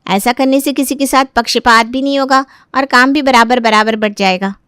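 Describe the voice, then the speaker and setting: Woman acting young bahu in a family story, high voice, argues back, crafty, dry recording. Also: fairly even intonation, normal speed, a bit bright, clean tone, no echo, natural voice. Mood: indignant. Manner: argumentative, protesting. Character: defiant young daughter-in-law, complaining and crafty. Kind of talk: dramatized Hindi moral story.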